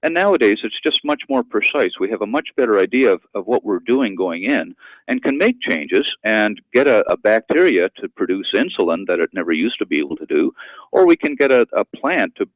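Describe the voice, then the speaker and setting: A man speaking as an expert guest in a radio interview, explaining in a measured, educational manner. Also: lowish voice, animated, no echo, rough recording. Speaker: guest expert, university professor and author.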